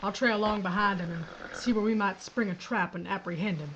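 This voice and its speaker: A woman's voice: mid-range voice, a little fast, noisy background, natural voice. A woman playing a tough Old woman sheriff. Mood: determined. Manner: dry, blunt, folksy. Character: tough gun-toting woman sheriff, blunt and stubborn. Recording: dull lo-fi audio.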